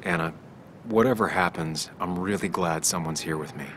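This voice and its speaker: An actor playing a troubled, wary, earnest investigator in a video game, low-key and terse, as if thinking aloud. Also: male voice, normal speed, background noise, close to an everyday voice. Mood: earnest, reassuring. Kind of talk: video game dialogue and inner monologue.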